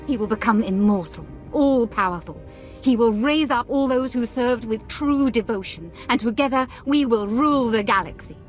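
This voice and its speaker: An actress playing a zealous, scornful Sith officer in a video game, with haughty, grandiose menace. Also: female voice, a little high, normal speed, soft background music, natural voice. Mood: fanatical, defiant. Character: zealous Sith woman officer, scornful, devoted to her master. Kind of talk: dramatic video game villain acting.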